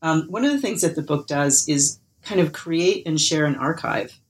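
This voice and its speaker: A woman hosting a book interview podcast, reading a scripted introduction, then asking measured questions with some hesitation. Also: low voice, animated, a little hiss or hum. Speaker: podcast host.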